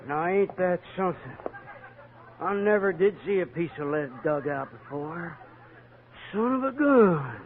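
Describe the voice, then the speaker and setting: A rough but soft-hearted cowboy gambler in an old radio Western. His American speech is plain, folksy and halting, pitched fairly high. The sound is thin and tinny, like an old broadcast. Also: animated, a little hiss or hum. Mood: amazed, excited. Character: rough, excitable, kindhearted frontier gambler in a Western. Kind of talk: 1950s radio Western acting.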